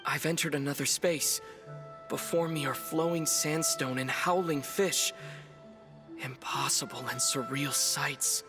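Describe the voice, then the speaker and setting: A man plays a young male video game adventurer with a fairly high voice for a man. He is earnest and analytical, reporting seriously and in measured phrases over music. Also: fairly even intonation, natural voice. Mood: grave, puzzled. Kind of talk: video game character dialogue.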